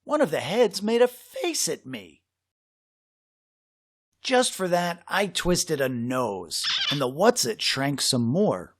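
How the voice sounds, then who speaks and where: A man reading a children's story aloud in an expressive, dramatic way with suspenseful pauses. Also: on the high side, dry recording, studio quality. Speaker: storybook reader.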